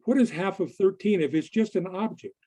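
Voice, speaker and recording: A man giving a conversational presentation to a group in a halting, deliberate manner. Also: high-pitched, dark tone, slightly rough. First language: English. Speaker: conference presenter. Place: online video meeting.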